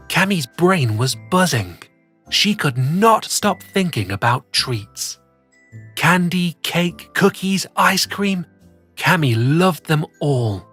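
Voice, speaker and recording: Husky playful children's story host. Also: mid-range voice, fairly bright tone. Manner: playful, addresses young listeners directly. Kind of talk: expressive presenting and storybook narration.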